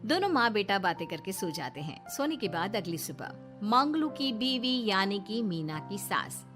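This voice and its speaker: A woman's voice: mid-range voice, sing-song, moderate pace. Female narrator for scripted moral tales, middle register, music bed underneath. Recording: music behind the voice.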